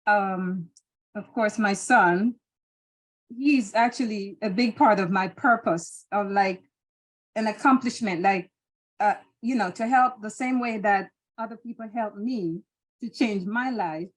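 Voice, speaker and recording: A woman's voice: medium pitch, measured pace, low-quality recording. Jamaican woman answering thoughtfully. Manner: thoughtful, hesitant, many restarts and fillers. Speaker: interview guest. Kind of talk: casual interview answers.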